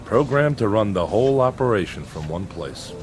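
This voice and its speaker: An actor playing a weary, plainspoken factory supervisor in a radio drama. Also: male voice, fairly low pitch, big pitch swings, normal speed, faint music behind. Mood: grim, bitter. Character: weary, bitter factory supervisor, gentle with people.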